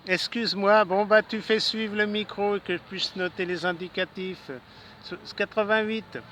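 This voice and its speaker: High, bright-voiced man on outdoor radio. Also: steady tone, moderate pace. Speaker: amateur radio operator. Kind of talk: casual ham radio exchange. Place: outdoors in a city garden. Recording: outdoor city ambience and traffic noise.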